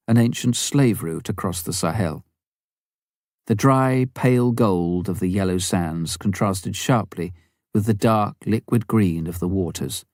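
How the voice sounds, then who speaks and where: A man narrating a missionary memoir as an audiobook, in a measured storytelling manner with careful phrasing. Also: fairly low pitch, a little mellow, no room sound, studio quality.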